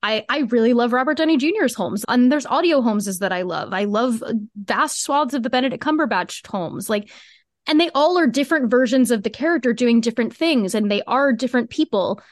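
A woman's voice: fairly high pitch, expressive, brisk, no room sound, clean studio sound. A woman speaking as a podcast guest in casual, earnest, emphatic interview chat. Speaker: podcast guest, fiction podcast co-creator.

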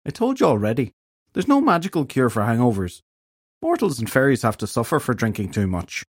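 A man's voice: medium pitch, wildly swooping pitch, a little fast, dry recording, full character voice. Man voicing a wry Northern Irish fairy woman. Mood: wry, pitying. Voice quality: smooth, lilting. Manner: dry, matter-of-fact scolding. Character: wry, unsympathetic female fairy bar owner.

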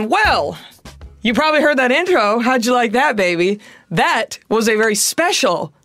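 A woman with a low voice hosting a podcast, chatting in a sassy, playful way and calling her listeners honey and baby. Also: lively intonation, unhurried, a bit bright, dry recording, studio quality, soft background music. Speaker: podcast host. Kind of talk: casual chat.

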